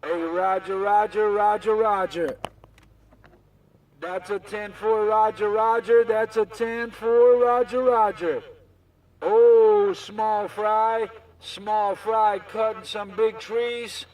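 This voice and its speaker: A man's voice: high voice, steady tone, takes it slowly, darkish tone, far from the mic, low-quality recording. A man working as a CB radio operator, boasting in repeated, chanted catchphrases with showy swagger. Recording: CB radio chatter, off the mic.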